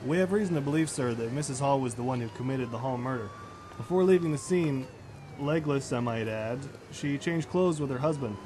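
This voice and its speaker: Casting: man as explanatory cop, medium pitch, businesslike, amateur radio play, noisy siren-filled scene. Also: a little fast, close to an everyday voice. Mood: matter-of-fact. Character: matter-of-fact male police officer explaining a case. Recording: loud outdoor street ambience with police sirens. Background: sirens and street ambience.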